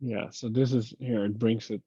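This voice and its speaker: A man's voice: fairly low pitch, steady tone, moderate pace, dark tone, breathy edge, dry recording. A man talking casually through a technical problem on an online call, muttering as he thinks aloud. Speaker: software engineer in a working group call. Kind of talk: casual technical troubleshooting talk. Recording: dull, lo-fi call audio.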